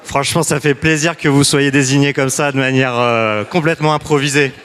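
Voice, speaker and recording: A man's voice: mid-range voice, fairly even intonation, fairly quick, a bit bright. A man facilitating a citizens' assembly, loose and joking, with many restarts.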